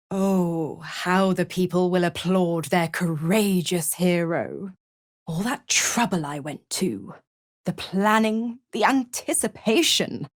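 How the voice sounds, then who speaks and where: A sly, mocking she-devil from a fantasy video game. Her delivery is unhurried and highly animated in pitch, purring and seductive, sinking into conspiratorial whispers. She is theatrical and condescending, captured in a close, dry recording. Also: dry recording. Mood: gloating, menacing, then impatient, scornful. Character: sly, seductive half-devil woman, a smug, mocking schemer.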